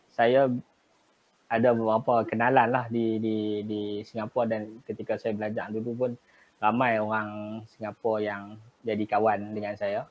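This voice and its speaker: Man, middle-aged, northern malaysian kedah accent, measured, pausing, dull lo-fi audio. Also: medium pitch. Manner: measured, with frequent pauses and fillers. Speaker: guest, travel agency business owner. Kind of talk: remote interview storytelling. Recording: dull lo-fi live stream audio.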